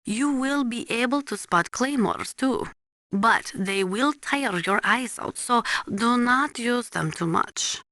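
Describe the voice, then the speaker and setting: An actress playing a calm, serious weapons expert in a video game, briefing a soldier with precise, measured, instructive delivery. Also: female voice, fairly high pitch, no room sound, studio quality, heavily acted. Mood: informative, cautioning. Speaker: military advisor character.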